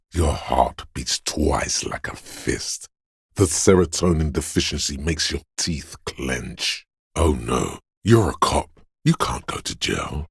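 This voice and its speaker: A man voicing a menacing, paranoid inner voice of fear and aggression in a video game, gravelly and husky, ominous and deliberate. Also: rumbling low, no room sound, studio quality, exaggerated voice. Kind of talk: scripted game voice acting, second-person narration.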